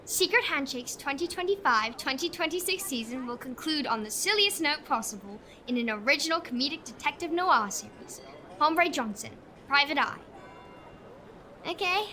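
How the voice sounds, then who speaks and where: A woman's voice: high-pitched, animated, normal speed, bright, forceful tone. A woman playing a cheeky, blunt Victorian London orphan girl in a comedic audio drama. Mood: eager, cheeky.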